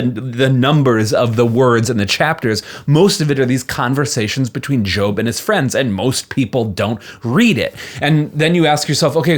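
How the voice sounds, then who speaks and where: A man giving a casual explanatory talk as a presenter, conversational, often posing rhetorical questions and checking in with short tags. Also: medium pitch. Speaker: presenter of a Bible commentary channel.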